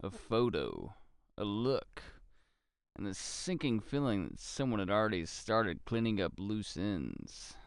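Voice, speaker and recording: An actor playing a weary hard-boiled private detective, narrating a noir audio drama in terse, brooding, deliberate phrases with a gravelly voice. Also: male voice, lowish voice, big pitch swings, dry recording, studio quality, full character voice. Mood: brooding, suspicious. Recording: music under the voice. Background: music.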